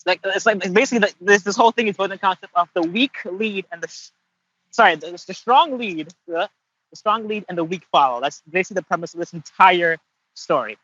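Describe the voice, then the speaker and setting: A man's voice: high-pitched, fast talker, pushed and bright, lo-fi sound. A man on a casual podcast chat, thinking aloud hesitantly and restarting his sentences often. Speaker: podcast co-host and voice actor.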